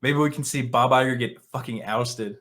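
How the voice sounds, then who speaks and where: A man's voice: mid-range voice, moderate pace, a bit bright. A man hosting an online commentary show, reading articles aloud and reacting in a blunt, scornful, sarcastic and profane way.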